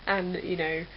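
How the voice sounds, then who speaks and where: A young woman from England chatting casually as a vlogger, rambling with lots of fillers. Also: mid-range voice. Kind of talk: casual chat. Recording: dull lo-fi webcam audio with faint hiss.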